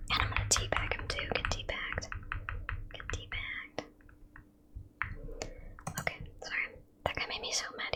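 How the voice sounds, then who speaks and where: Young woman whispering the whole time while gaming, super high voice, rambly and chatty, gets annoyed fast. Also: pushed and bright, poor audio. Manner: whispering throughout, chatty, rambling, quickly frustrated by opponents. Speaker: gaming video creator. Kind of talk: whispered gameplay commentary.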